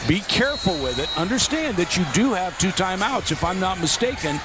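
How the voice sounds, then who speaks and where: A man's voice: high-pitched, big pitch swings, fairly quick. A man giving live basketball analysis in an instructive, coach-like way, as on a courtside broadcast. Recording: noisy live arena broadcast.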